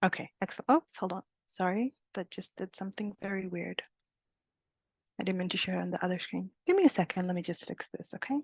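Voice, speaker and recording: A woman's voice: medium pitch, fairly quick, gravelly, slightly rough, no echo, studio quality. A young woman from Uganda giving a casual webinar presentation over a video call, talking with many fillers and small laughs.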